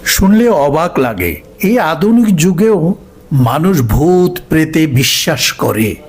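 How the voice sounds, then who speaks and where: A man's voice: fairly high pitch, sing-song, slow, darkish tone, husky, heavily acted. A man voicing a polite, skeptical young college student in a cartoon, friendly and easygoing. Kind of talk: cartoon voice acting.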